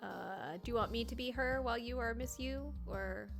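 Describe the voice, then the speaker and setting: A woman hosting a stream, chatting casually in a warm, encouraging way. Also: a little high, normal speed, gravelly, soft background music. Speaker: streamer host. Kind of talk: casual chat.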